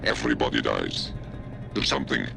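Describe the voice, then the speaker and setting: A man playing a cool, calculating hacker informant in a video game, speaking bluntly and cryptically with a deep, gravelly voice. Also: a little fast, heavily acted. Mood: grave, knowing. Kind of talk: video game dramatic acting. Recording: music behind the voice.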